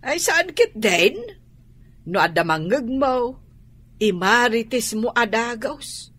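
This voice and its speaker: Bossy female busybody, high voice, slow emphatic delivery, nagging, swooping pitch, radio drama. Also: expressive, fairly bright tone, heavily acted. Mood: mocking, nagging. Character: bossy, blunt, scolding female cousin and busybody.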